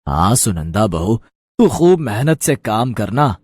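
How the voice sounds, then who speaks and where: A man's voice: fairly low pitch, very animated, a little slow, a little mellow, dry recording. Cartoon elder: a fond, encouraging old father-in-law, dry close sound, a man voices him.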